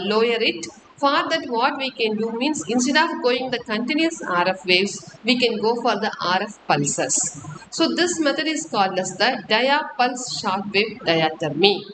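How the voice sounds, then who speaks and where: A woman with an Indian accent delivers a technical lecture in a fairly high voice. She explains step by step in a halting, repetitive way. The room echoes around her and a clear hiss runs under the recording.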